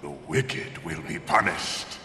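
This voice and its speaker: A man playing a stern, righteous warrior horseman in a video game, gravelly, declamatory and solemn. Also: very deep voice, slow, bright, forceful tone, soft background music, heavily acted. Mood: resolute. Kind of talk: dramatic video game cutscene acting. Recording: reverberant game capture, faint noise.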